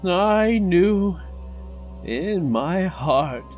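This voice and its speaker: An actor playing a dying old park founder who speaks slowly, faltering and weak. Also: male voice, high voice, animated, highs cut off, soft background music, full character voice. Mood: grieving. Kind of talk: fan audio drama acting.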